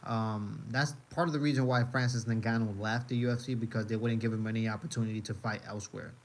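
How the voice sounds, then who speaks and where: A man's voice: on the low side, steady tone, fast, slight background noise. A man from the United States chatting casually on a live stream as he reacts to videos, rambling and repeating his phrases with many fillers.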